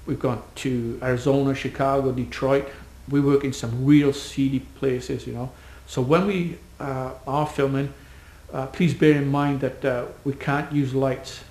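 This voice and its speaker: A man's voice: medium pitch, fairly even intonation, a little slow, few pauses, a little mellow. A man describing his crew's travels in a plain, matter-of-fact way. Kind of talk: casual spoken promo. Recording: echoey room.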